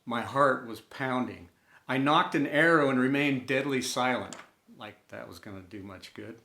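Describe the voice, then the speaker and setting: A man narrating a scripted personal story in an inspirational style, with a measured delivery and deliberate pauses. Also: mid-range voice, gravelly, bright, forceful tone. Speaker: storyteller and host. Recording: roomy, echoing room sound.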